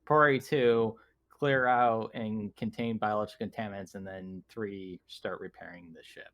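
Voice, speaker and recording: A man playing a polite, analytical, literal-minded android in a tabletop game, speaking in a formal, measured, clinical manner. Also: fairly low pitch, fairly even intonation, a bit bright, highs cut off. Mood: methodical. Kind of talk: in-character tabletop roleplaying.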